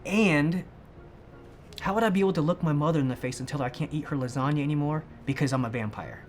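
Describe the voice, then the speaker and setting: A man's voice: a little high, quick pace, soft background music, natural voice. A man playing an anxious, sensible, conventional fiancé in a comedic short film, earnest and reasoning as he protests. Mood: exasperated pleading.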